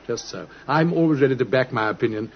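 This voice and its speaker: A man playing a shrewd gentleman detective in an old-time radio drama, with a British accent and a polite, brisk, knowing manner. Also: mid-range voice, lightly acted. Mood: playfully wagering. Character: shrewd, urbane gentleman detective, quietly commanding. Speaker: actor in a radio play. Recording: muffled, hissy vintage radio recording.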